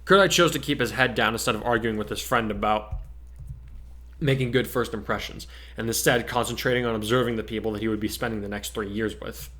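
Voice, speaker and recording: A man's voice: fairly low pitch, fairly quick, a bit bright. A man reading scripted fiction aloud as a narrator, in a dramatic story-reading style.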